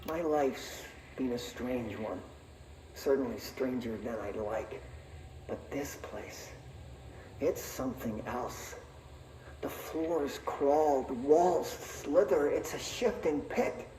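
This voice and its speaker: Bookish male researcher, brooding game audio log; measured, self-doubting, distant hissy tape sound. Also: mid-range voice, dark tone. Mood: brooding, awed. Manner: brooding, self-deprecating, literary. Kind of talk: dramatic audio-log monologue in a video game. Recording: echoey, distant, noisy tape recording.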